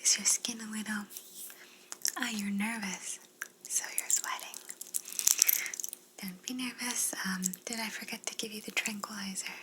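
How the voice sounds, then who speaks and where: A woman playing a polite, soothing female alien abductor in a whispered ASMR roleplay, gently reassuring and clinical in manner. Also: medium pitch, steady tone, unhurried, pauses often, background noise, lightly acted. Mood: soothing, attentive. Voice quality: breathy unvoiced whisper.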